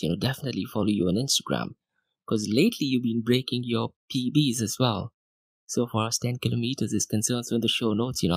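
A man's voice: mid-range voice, slightly rough, no room sound. A man hosting a podcast interview, enthusiastic and chatty as he asks questions. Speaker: podcast host. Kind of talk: interview host.

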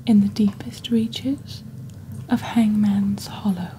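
A woman acts out a calm witch hosting a magical shopping channel in soft ASMR. Her voice is breathy and nearly whispered, very dark and mellow, with slow, deliberate phrasing. It is recorded close on the mic over faint background noise. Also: medium pitch, lightly acted. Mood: calm, mysterious. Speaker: host of an ASMR roleplay channel. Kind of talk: soft-spoken ASMR scripted product presentation. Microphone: close desk microphone.

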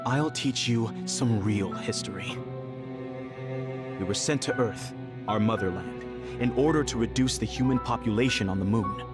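An actor voicing a stern, fanatical elder brother in a video game, commanding and condescending in dramatic delivery. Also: male voice, fairly low pitch, normal speed, close to an everyday voice. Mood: grim, lecturing. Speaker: villain. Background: dramatic background music.

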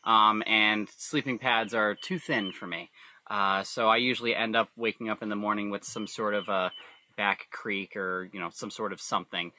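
A man's voice: fairly low pitch, fairly even intonation, bright, forceful tone. A man speaking as a podcast guest in casual, personal storytelling, rambling a little and correcting himself. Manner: rambling, halting, self-correcting. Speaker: podcast guest, hobby camper builder.

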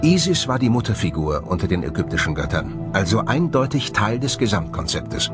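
A man presenting a documentary in scripted narration, with measured, rhetorical, emphatic phrasing. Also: on the low side.

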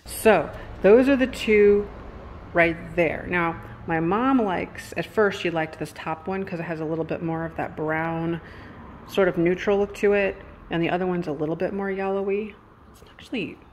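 A woman chatting casually and informally to a handheld camera as she vlogs, thinking aloud. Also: fairly low pitch. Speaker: vlogger. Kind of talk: casual vlog chat to camera. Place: indoors, empty house rooms and a supermarket. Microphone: handheld phone or camera, held out. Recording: clear background noise, handheld camera audio.

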